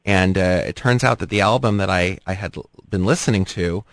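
A man hosting an interview, asking measured, probing questions. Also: low-pitched, dry recording. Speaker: interviewer, host. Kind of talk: radio interview.

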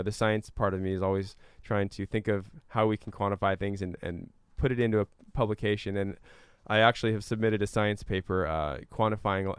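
Smooth, low-voiced man explaining earnestly. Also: no room sound, studio quality. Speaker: interview guest, climate activist and scientist. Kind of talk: conversational interview answers.

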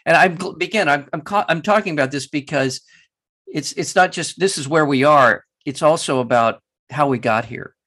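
A man hosting an interview, speaking passionately and haltingly with many restarts. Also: on the high side, a bit bright. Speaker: interview host. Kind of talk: interview conversation.

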